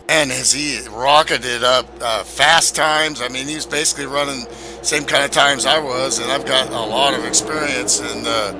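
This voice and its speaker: A man co-commentating a sim race he also drives in, relaxed and hesitant with fillers. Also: medium pitch, noisy background. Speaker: co-commentator and racer. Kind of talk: sim racing broadcast commentary.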